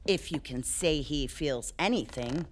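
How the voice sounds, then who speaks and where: A woman's voice: sits low, expressive, measured pace, slight background noise. An actress playing an earnest, proper charity lady in an audio drama, formal and emphatic. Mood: indignant. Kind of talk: audio theatre acting.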